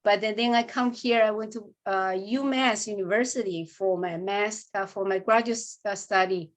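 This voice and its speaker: A woman speaking as an artist guest on a video call, halting and restarting words as she talks. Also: medium pitch. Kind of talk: remote panel talk.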